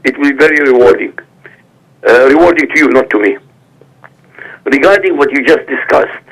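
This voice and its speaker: A man's voice: medium pitch, a bit bright. A man whose first language is Arabic, a guest on a talk show who reads a prepared statement in a formal, emphatic way over a phone line. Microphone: phone call.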